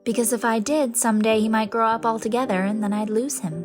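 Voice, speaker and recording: A woman voicing a gentle, wistful middle-aged lady in a storybook reading, dreamy and tender in manner. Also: fairly high pitch, steady tone, fast talker, music in the background, close to an everyday voice. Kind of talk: dramatized audiobook character reading.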